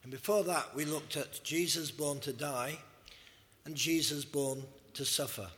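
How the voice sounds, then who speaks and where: A man preaching a sermon in a church, slightly hoarse, in a measured style with scripture readings. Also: a little high, a bit bright. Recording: long hall reverb.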